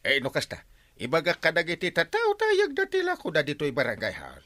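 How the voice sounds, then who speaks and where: An excitable, brash village captain in a radio comedy. His pitch leaps all over and his tone is sharp and forceful. The recording is close and dry, and his delivery is exclamatory and exaggerated. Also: a little fast, no echo, full character voice. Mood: blustering. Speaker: radio drama actor. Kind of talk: Ilocano comedy radio drama acting.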